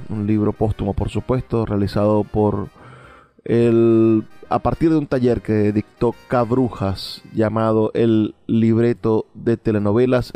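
A man with a Venezuelan accent presenting a radio music program in a measured, halting way. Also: medium pitch, warm tone. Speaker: radio host. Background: music playing faintly behind the voice.

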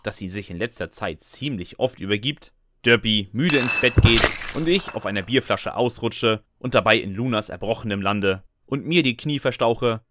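A man with a fairly deep but bright, forward voice narrates a comic audio drama in the first person. He sounds sarcastic and resigned, recorded dry and close. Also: dry recording.

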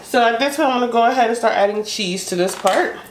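A woman's voice: fairly high pitch, fast. An American woman chatting casually and informally as she cooks, thinking aloud in an unscripted way. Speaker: home cook vlogger. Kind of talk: casual chat while cooking. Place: home kitchen, indoors. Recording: echoey kitchen, noisy stove sizzle. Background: sizzling pan, clicks, stirring.

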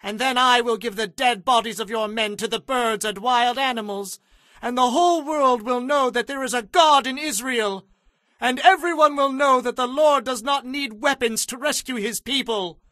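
A man's voice: extremely high pitch, measured pace, sharp tone, no echo, exaggerated voice. A young man playing a bold, earnest shepherd boy of faith in a dramatized audio Bible, with a declamatory delivery. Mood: defiant.

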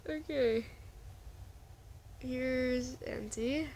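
A woman's voice: high voice, very slow, darkish tone, a little hiss or hum. A woman casually chatting to her viewers in a rambling vlog, often restarting her sentences. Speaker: vlogger.

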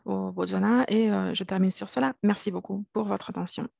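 A woman with a cold works as a live interpreter, her voice gravelly with fry. She pauses often with hesitations as she keeps pace with the speaker. The sound is dry and a little dull, like a lo-fi interpreter feed. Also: mid-range voice, a little mellow, no echo. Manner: halting, many filler hesitations. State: has a cold. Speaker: simultaneous interpreter. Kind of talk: live interpreting into French for a webinar.

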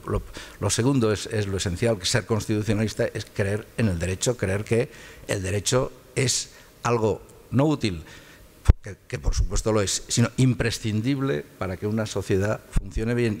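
An older man from Catalonia speaks as a guest lecturer in a lecture hall, his voice sitting on the low side. He talks in a loose, conversational way, stumbling and repeating small words while he searches for the next phrase. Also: a little mellow. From Catalonia, Spain.

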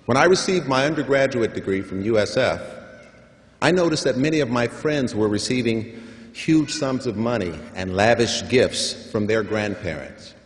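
An older man delivering a formal commencement address, measured and emphatic, building up to stressed points. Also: mid-range voice. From San Francisco. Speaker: commencement speaker. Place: large hall at a graduation ceremony. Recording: long hall reverb.

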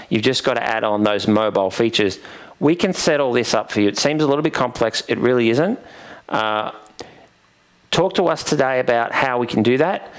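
A man, giving an informal software tutorial into a headset microphone. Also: medium pitch, smooth. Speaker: presenter, software vendor demonstrating a product. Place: indoors, presenting at a computer.

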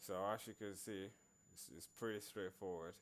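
A man narrating an informal programming screencast in a halting way, repeating words as he works. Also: low-pitched, steady tone. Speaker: programming tutorial presenter. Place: screen recording voice-over.

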